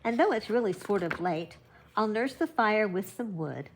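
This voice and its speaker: A woman's voice: medium pitch, lively intonation, moderate pace, slight background noise, close to an everyday voice, low-quality recording. An older woman voicing a kind male hunter who welcomes guests in from the storm, in a storybook. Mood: welcoming. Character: kindly male hunter, tired but welcoming.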